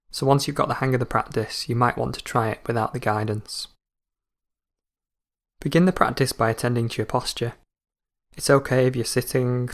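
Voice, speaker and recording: A man calmly guiding a scripted meditation in a measured, instructional way. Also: mid-range voice, dry recording, studio quality. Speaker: meditation guide.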